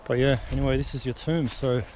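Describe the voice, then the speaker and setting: A man's voice: mid-range voice, fairly even intonation, brisk, a little mellow. A man giving casual, musing commentary as he walks outdoors through rural countryside, filming on a handheld camera. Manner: chatty, muses aloud while exploring. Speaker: travel vlogger. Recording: outdoor camera audio with noise. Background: wind and outdoor ambience.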